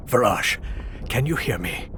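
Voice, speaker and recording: A man playing a nervous, loyal English professor in an audio drama, emotional and halting. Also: mid-range voice, animated, fairly bright tone, slight background noise. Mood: grieving.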